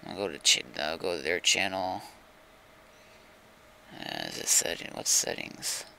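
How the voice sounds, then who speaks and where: A man's voice: low voice, a little slow, creaky, pushed and bright, slight background noise. A man chatting casually on a gaming livestream, rambling and thinking aloud as he goes.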